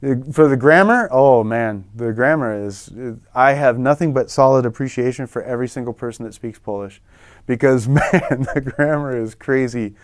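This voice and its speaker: A middle-aged man talking in a relaxed, rambling way, often restarting his sentences, as a guest in an interview-style lesson. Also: medium pitch, moderate pace, dry recording, clean studio sound. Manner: relaxed, rambling, self-correcting. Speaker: guest in a language lesson show. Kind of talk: casual interview chat.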